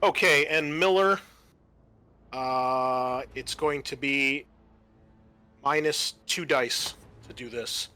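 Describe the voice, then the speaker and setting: A man's voice: medium pitch, slow pace, long pauses, bright, forceful tone, clear, resonant tone. A man acting as game master, narrating scenes and explaining rules in a conversational way over background music. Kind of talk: narrating and ruling a tabletop roleplaying game.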